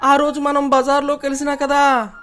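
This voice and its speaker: A woman's voice: high voice, monotone, normal speed, a bit bright, faint music behind. A woman playing an eager, chatty male idol seller in a cartoon, with Telangana Telugu patter. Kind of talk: animated comic story dialogue.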